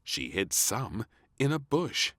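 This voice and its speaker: A man narrating a scripted children's story in an expressive, deliberate storytelling manner. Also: on the low side, slight background noise.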